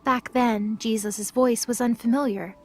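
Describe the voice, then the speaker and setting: A woman's voice: on the high side, fairly even intonation, unhurried, darkish tone, slight background noise. A woman narrating a scripted audio drama as a storyteller. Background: music.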